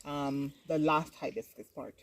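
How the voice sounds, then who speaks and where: A tea farm guide outdoors, explaining tea processing in an informative, unhurried way. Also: mid-range voice, a little hiss or hum, rough recording. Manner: informative, unhurried, uses fillers.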